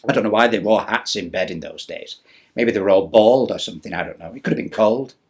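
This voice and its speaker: A man's voice: on the low side, quick pace, breathy edge. A man playing a cheeky old pantomime dame, reading a Christmas poem theatrically with playful, chatty delivery. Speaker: storyteller.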